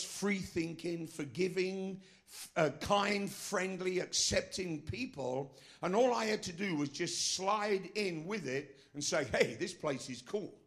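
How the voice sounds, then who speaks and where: A middle-aged man preaching a sermon as pastor of his church, with a non-American accent, emphatic and asking the congregation questions. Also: high voice. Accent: foreign, not American.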